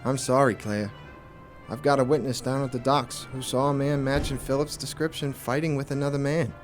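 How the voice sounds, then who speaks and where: A man playing a hardboiled private investigator in a noir audio drama, terse and deadpan, with music behind him. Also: mid-range voice, a little fast, close to an everyday voice. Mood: grave. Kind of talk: noir radio drama with first-person narration.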